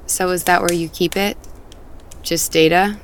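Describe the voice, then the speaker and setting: An actress playing a curious, thoughtful woman with a gentle, probing manner, in naturalistic audio drama dialogue. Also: fairly low pitch, steady tone, a little slow, natural voice. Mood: gently probing. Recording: faint outdoor ambient noise.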